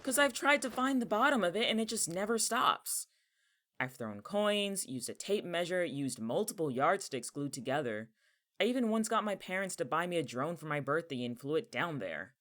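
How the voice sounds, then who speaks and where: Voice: mid-range voice, big pitch swings, moderate pace, a bit bright. An actor in a naturalistic audio drama playing an exasperated, protective young person with a casual, sardonic, blunt way of talking. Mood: wry, casual. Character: exasperated, protective young person who knows the town's dangers.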